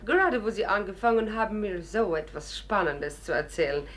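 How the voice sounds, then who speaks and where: A woman's voice: medium pitch, expressive, a little fast, close to an everyday voice. An actress in a 1950s German radio play voicing an effusive, chatty and bold tourist lady. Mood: gushing. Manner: effusive, theatrical. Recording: noisy old radio recording.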